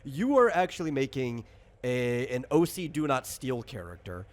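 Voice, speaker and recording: A man's voice: mid-range voice, big pitch swings, normal speed. A man hosting and explaining a game in casual chat, thinking aloud in a rambling way. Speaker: game designer and host.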